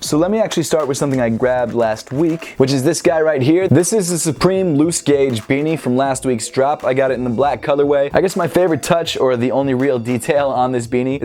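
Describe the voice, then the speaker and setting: A man in his twenties, slightly hoarse from a cold, chatting upbeat and straight to camera while unboxing clothes on a clip-on mic indoors. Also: mid-range voice, a little mellow. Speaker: sneaker and streetwear vlogger. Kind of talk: casual unboxing vlog. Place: indoor room with sneaker shelves. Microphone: clip-on lapel mic.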